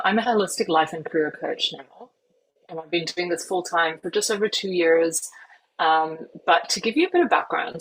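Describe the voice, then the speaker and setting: A woman's voice: lowish voice, fairly bright tone, poor audio. A woman in her forties with a hard-to-place English and German accent, a life coach chatting as a podcast guest, enthusiastic and full of "um" and "you know". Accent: mixed English and German, hard to place. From Singapore. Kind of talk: casual podcast interview.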